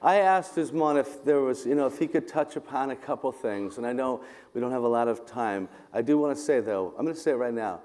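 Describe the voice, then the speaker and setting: A man addresses a gathering in a big hall, his voice carried on long reverb. He speaks as a pastor and host, informal and halting, often rephrasing as he introduces things to the audience. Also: medium pitch, darkish tone. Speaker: pastor hosting a church gathering. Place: large indoor hall with a sound system.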